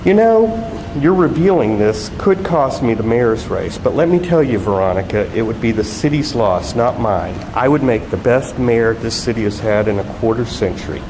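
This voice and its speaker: A man voicing a pompous, cynical woman politician in deliberate speech, reading from a novel. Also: mid-range voice, lively intonation, lightly acted. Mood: self-important. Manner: slow, deliberate. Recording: echoey hall with loud background noise.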